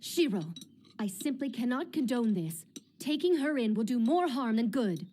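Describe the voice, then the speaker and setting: Woman playing a stern knight girl, formal, mellow tone, slightly high. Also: animated, moderate pace. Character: proud, formal female knight servant. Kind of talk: fan manga dub.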